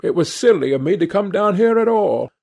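A man voicing a troubled, melancholy young gentleman in an audiobook, plaintive, earnest and polite. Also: high-pitched, expressive, a little fast, gravelly, voice pushed up, exaggerated voice. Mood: pained, weary.

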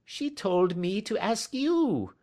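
A man's voice: high-pitched, lively intonation, slow, voice pushed up, exaggerated voice. A man voicing a cringing, frightened little man with a whining, quavering voice in a storybook reading. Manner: nervous, pleading.

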